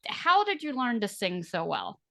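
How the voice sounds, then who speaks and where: A woman's voice: medium pitch, huge pitch swings, normal speed, vocal fry, bright, forceful tone, no echo. Bubbly podcast lady, giggles a lot, gushes, dry close mic. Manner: enthusiastic, giggly. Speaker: podcast co-host. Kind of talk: casual podcast chat.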